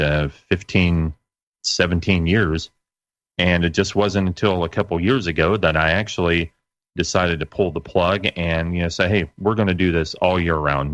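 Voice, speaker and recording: A middle-aged man as an interview guest, casually recalling his work in a halting, self-correcting way. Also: sits low, a bit bright, no echo. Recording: dull lo-fi dry sound.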